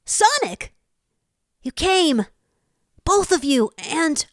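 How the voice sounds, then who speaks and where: A woman's voice: sits high, big pitch swings, extremely slow, no room sound, clean studio sound, full character voice. A woman voicing a sweet, earnest young fox boy with a childlike manner in a fan audio drama. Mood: overjoyed.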